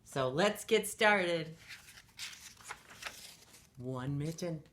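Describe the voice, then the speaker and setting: A woman's voice: sits low, lively intonation, drawn-out words, a bit bright. A middle-aged woman reading a picture book aloud to young children in a playful, sing-song manner. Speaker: children's storytime reader.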